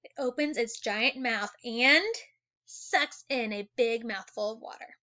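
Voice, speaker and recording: A woman teacher reading an educational children's book aloud in an animated way, adding exclamations and wondering asides for young listeners. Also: a little high, measured pace, lo-fi sound.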